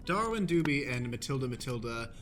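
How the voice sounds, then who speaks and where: A man narrating stage directions for an improvised radio play in a deadpan style. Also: medium pitch, fairly quick. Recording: music behind the voice.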